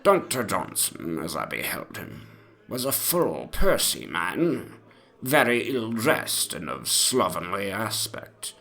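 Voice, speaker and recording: A man playing a very old, self-important English gentleman, reading an ornate antique memoir in a deliberate, pompous storytelling manner. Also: on the low side, sing-song, gravelly, full character voice. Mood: fastidious, haughty.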